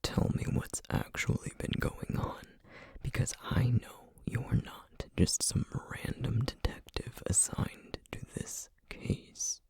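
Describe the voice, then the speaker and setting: A man playing a menacing, distrustful feral essence eater in an ASMR roleplay, speaking in a breathy, husky near-whisper with slow, threatening deliberation. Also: medium pitch, a little mellow, no room sound. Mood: cold menace. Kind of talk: ASMR roleplay audio drama.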